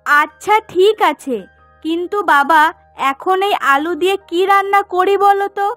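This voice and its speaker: A woman's voice: very high-pitched, normal speed, fairly bright tone, no room sound. A woman voicing a caring, anxious young village daughter of a fisherman in a cartoon moral story, earnest in manner. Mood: resigned, practical. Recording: music behind the voice.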